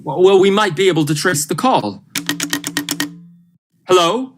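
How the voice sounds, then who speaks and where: A woman's voice: fairly low pitch, lively intonation, unhurried, background noise. A woman playing a steadying, practical doctor in a vintage radio thriller, speaking in an earnest, reassuring way. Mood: urgent, concerned.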